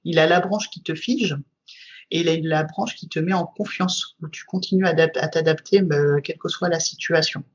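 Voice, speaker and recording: Casual lo-fi podcast guest, a woman with a husky, breathy voice, explaining her subject in long, flowing stretches, lots of "euh" fillers, recorded on muffled, dull audio. she sounds like she's talking with someone she's comfortable with. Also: low-pitched, normal speed. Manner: explains at length with frequent fillers. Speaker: guest, trainer.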